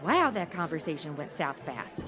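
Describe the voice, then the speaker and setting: A woman's voice: low voice, very animated, normal speed, a bit bright, soft background music. An actress playing a spoiled modern city woman stuck in the Old West, sarcastic, blunt and complaining, in a comedy audio drama. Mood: mocking.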